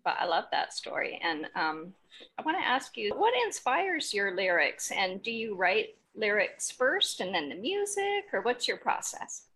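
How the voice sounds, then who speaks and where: A woman's voice: medium pitch, sing-song, unhurried, a bit bright, husky. A woman hosting a casual music interview, asking questions and reacting with enthusiasm. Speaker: show host, interviewer.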